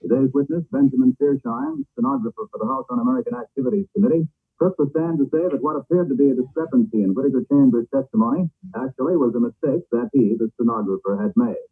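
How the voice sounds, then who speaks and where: An old, narrow-band radio recording of a man reading news in 1940s style. His voice sits at an average pitch with a husky haze, and his delivery is formal and declarative. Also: expressive, fast talker. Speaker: radio newscaster.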